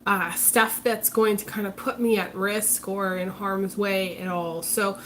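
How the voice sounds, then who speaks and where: A woman's voice: medium pitch, level tone, unhurried, a bit bright, a little hiss or hum. A congested woman hosting a casual live stream as a psychic reader, talking hesitantly with many ums and restarts. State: congested, recovering from illness. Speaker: psychic reader, channel host.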